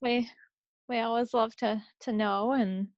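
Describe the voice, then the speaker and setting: A woman's voice: fairly high pitch, steady tone, measured pace, no echo. An American woman co-hosting a podcast in casual chat, on a slightly dull recording. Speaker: podcast co-host.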